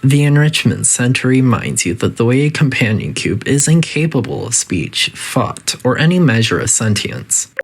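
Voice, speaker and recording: A man's voice: mid-range voice, big pitch swings, normal speed, hardly any pauses, breathy edge. A man voicing a coolly polite, faintly menacing facility AI that gives formal, detached test-chamber announcements in a video game. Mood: clinical, detached.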